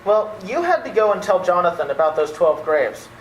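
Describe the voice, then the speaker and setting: A woman's voice: lowish voice, big pitch swings, normal speed. A woman playing a harried, protective young nephew in an old-time radio play, flustered and insistent. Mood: exasperated, frantic. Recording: roomy, slightly noisy amateur recording.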